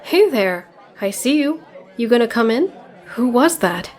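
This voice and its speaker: A woman's voice: a little high, big pitch swings, unhurried, a little mellow. A woman voicing a tough, sardonic young female ninja turtle in a comic dub, speaking in a dry, casual way.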